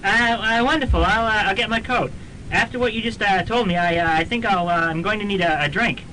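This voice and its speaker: A man playing a nervous professor in a radio comedy, halting and stammering as he talks. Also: high voice, bright, forceful tone, slight background noise, low-quality recording. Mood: flustered. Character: nervous, stammering male professor and hobby demonologist. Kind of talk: student radio comedy drama acting.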